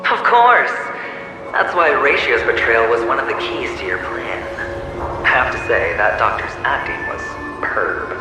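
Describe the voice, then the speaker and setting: A sardonic, taunting male doppelganger from a video game, heard through a heavy echo over loud music. He draws his words out with mocking relish, his pitch swooping up and down. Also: expressive. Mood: smug, knowing. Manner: sardonic, theatrical, drawn-out mocking delivery. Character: taunting mocking inner self of a cunning gambler, male. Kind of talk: theatrical video game voice acting. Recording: heavily echoing, with music behind.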